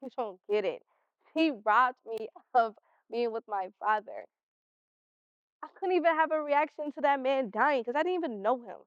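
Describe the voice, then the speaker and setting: A woman's voice: sits high, animated, normal speed, dry recording. Muffled, defiant woman playing a teenage girl. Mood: bitter. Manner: curt, defiant, blunt. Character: guarded, resentful sixteen-year-old high school girl. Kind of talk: amateur stage play acting. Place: theater stage. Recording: muffled, dull stage recording.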